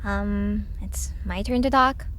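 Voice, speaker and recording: A woman's voice: medium pitch, slow, fairly bright tone, slight background noise. A woman acting a skeptical, insistent young twin sister in an audio drama, speaking conversationally over some background ambience.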